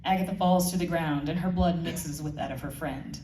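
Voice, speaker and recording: A woman's voice: deep voice, steady tone, a little fast, dark tone. A woman giving a live scripted narration of her audio drama, heard in a roomy space away from the mic. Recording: echoey room, distant mic, faint noise.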